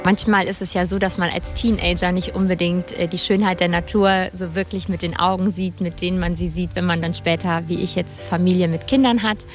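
A woman's voice: lowish voice, steady tone, fairly quick, hardly any pauses, faint music behind. A middle-aged woman from the Rhineland, explaining things as an interview guest in the hospitality trade. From Rhineland, Germany. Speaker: hotelier and restaurant host, interview guest.

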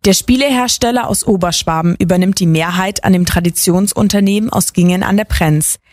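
Brisk female radio reporter. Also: medium pitch, darkish tone, no room sound. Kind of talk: news report. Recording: dry studio sound.

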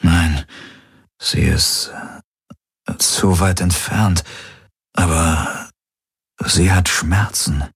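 A man voicing a wounded young man just woken from injury in a science fiction audiobook, speaking low and haltingly. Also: fairly even intonation, breathy edge, no echo, studio quality, heavily acted. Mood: weary. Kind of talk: audiobook character voice.

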